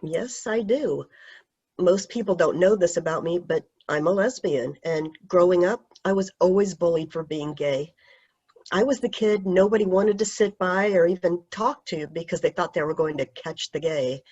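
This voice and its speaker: Woman for a kind teacher role in a play reading; low, husky, soothing, on muffled call audio. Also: normal speed. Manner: gentle, reassuring. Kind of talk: staged play reading over video call. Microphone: video call.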